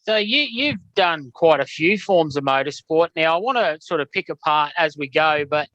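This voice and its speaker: A man hosts a relaxed interview, pausing on ums as he asks questions. His voice is a little high and bright. The dry, dull lo-fi recording takes the shine off the top. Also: no room sound. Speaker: podcast host. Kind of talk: casual interview. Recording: dull lo-fi sound.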